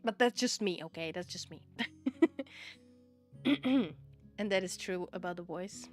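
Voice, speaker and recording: A woman's voice: fairly low pitch, a little slow, faint music behind. A woman from the Czech Republic with a Czech accent, a Czech native speaker chatting casually with her stream audience, laughing often and repeating "okay?" to drive her points home. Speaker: VTuber streamer. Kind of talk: casual livestream chat, answering viewer chat.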